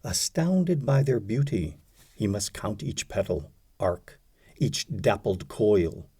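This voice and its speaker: Slow, mellow male poetry narrator. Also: fairly low pitch, very animated, slightly rough. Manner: measured, deliberate, phrase-by-phrase delivery with pauses. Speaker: audiobook narrator, poetry reader. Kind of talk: expressive scripted poetry reading.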